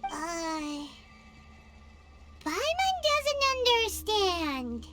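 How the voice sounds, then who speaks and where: A woman's voice: very high-pitched, big pitch swings, very slow, over music, exaggerated voice. A woman voicing a small, naive, chirpy fairy companion in a video game, speaking with childlike exclamations. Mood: puzzled, uneasy. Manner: third-person self-reference, exclamatory, childlike. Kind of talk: video game character acting.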